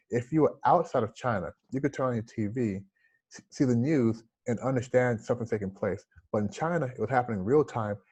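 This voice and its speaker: A man's voice: medium pitch, a little mellow. Earnest man with a slight lisp. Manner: earnest, reflective, gestures with hands. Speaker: interview guest, entrepreneur. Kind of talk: conversational interview storytelling.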